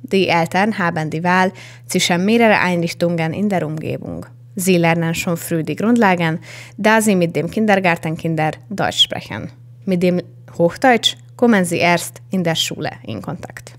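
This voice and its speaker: A young woman reporter reading a scripted television news voice-over in an even manner. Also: lowish voice, normal speed. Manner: even, read-out delivery.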